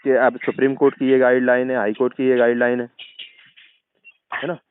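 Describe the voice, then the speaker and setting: A man's voice: mid-range voice, flat delivery, brisk, a little hiss or hum. A man with a central Indian Hindi accent explains things persuasively on a phone call, often checking that the listener agrees. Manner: persuasive, checks agreement with frequent tag questions. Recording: muffled, tinny phone-call recording.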